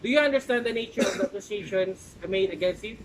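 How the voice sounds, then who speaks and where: A man's voice: high voice, fast, natural voice. A man with a Filipino accent playing a formal, orderly judge in an amateur courtroom role-play. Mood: formal, commanding. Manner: formal, procedural. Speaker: judge in a mock trial. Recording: faintly noisy amateur room recording.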